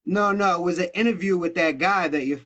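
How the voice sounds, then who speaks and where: A man's voice: high voice, brisk, a bit bright, dull audio. A man in his thirties from Massachusetts, a rapper talking loosely and casually in an interview, swearing freely. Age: 30s. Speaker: rapper guest.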